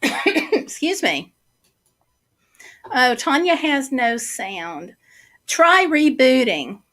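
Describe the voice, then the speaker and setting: A woman hosting a casual livestream and reading out viewer comments, talking slowly with many fillers while her voice is going hoarse. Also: high-pitched, big pitch swings, a bit bright. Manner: slow, chatty, many fillers. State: losing her voice, throat clearing. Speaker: livestream host.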